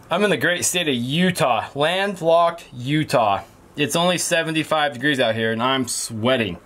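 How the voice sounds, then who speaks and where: A man's voice: mid-range voice, a bit bright, a little hiss or hum. A man in his forties chatting casually through a boat restoration project, easygoing and self-deprecating, with plenty of ums, talking close to the camera in a small sailboat cabin. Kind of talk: casual how-to vlog talk. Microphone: camera close to the face.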